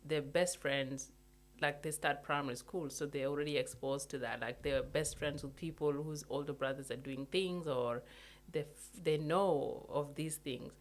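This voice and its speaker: An earnest young adult speaker, chatting casually at a middle pitch. They circle back to make their point again and laugh lightly now and then. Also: fairly even intonation, normal speed, hardly any pauses. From South Sudan. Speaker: guest in a conversation. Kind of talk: casual chat.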